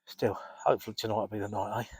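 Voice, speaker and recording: A man's voice: lowish voice, level tone, fast talker, no echo. Congested man chatting by a lake. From England. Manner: rambling, repeats himself, clears throat often. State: congested, blocked up with a cold. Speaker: carp fishing vlogger. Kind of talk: casual chat to camera. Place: outdoors by a lake.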